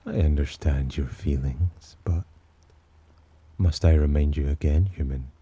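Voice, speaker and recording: A man voicing a haughty, teasing demon who looks down on humans, in an intimate ASMR roleplay with a slow, condescending delivery. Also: exaggerated voice. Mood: haughty, probing. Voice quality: very deep, dark, husky. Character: haughty, teasing male demon, disdainful of humans, possessively protective.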